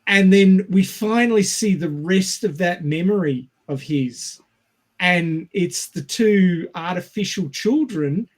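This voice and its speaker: Guy co-hosting a film podcast, pretty high voice, takes his time talking, casual. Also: lively intonation, slow pace. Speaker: podcast co-host.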